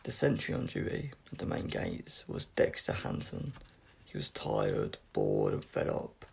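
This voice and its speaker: A man reading a science fiction story aloud as scripted narration, in a gravelly, husky voice with an unpolished, amateur delivery. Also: extremely low pitch, wildly swooping pitch, measured pace, a little mellow. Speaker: audiobook reader.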